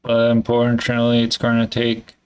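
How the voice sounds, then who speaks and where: A man in a candid solo vlog, thinking aloud in a halting, hesitant way and swearing casually. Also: mid-range voice, monotone, clean tone, lo-fi sound. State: congested. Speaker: vlogger.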